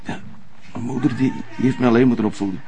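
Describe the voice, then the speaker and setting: A man's voice: medium pitch, steady tone, quick pace, mellow, faint music behind, lightly acted. An actor playing an earnest, hesitant male inventor in a radio play, speaking with a Flemish accent. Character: earnest male inventor, touchy about being mocked.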